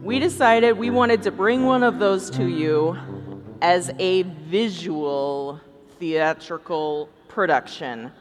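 A woman's voice: on the low side, slow pace, music in the background. A woman hosting a live comic stage show, introducing material to a convention hall. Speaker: podcast host on stage. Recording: live hall with long reverb.

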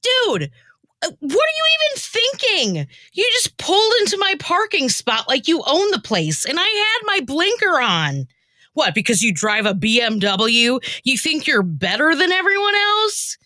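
Woman acting out an exasperated lady in a comic radio play, bright forceful voice, swings up and down a lot. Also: sits high, huge pitch swings, unhurried, no room sound, clean studio sound, full character voice. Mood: indignant, exasperated. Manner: exclamatory, slangy, theatrical. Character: woman playing her exasperated, easily riled comic self. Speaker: podcast host and scriptwriter.

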